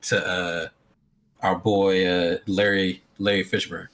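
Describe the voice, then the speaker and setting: A man co-hosts a relaxed podcast chat with a bright, forward-edged voice. He jokes and laughs easily. He pushes his predictions with easy confidence. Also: sits low, slow pace. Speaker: podcast co-host. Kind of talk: casual chat.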